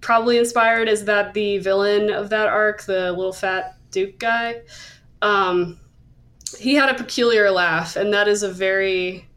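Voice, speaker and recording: A woman's voice: medium pitch, steady tone, measured pace, fairly bright tone. A woman hosting a podcast, talking casually about comics in a hesitant, self-qualifying way. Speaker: podcast host. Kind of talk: casual discussion of manga.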